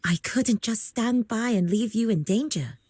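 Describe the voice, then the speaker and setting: Gentle, slightly husky young villager. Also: female voice, on the high side, a little slow, dry recording. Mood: calm, reassuring. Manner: calm, polite, explanatory. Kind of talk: video game character acting.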